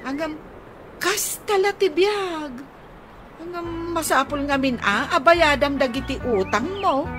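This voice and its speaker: A woman with an Ilocano accent playing an insistent, long-suffering village creditor in a radio comedy drama, chiding in drawn-out phrases. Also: high voice, animated, music in the background.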